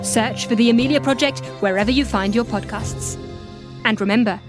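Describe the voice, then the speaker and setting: A woman's voice: a little high, normal speed, over music. A woman narrating a scripted podcast promo in an inviting, playful way. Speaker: promo announcer.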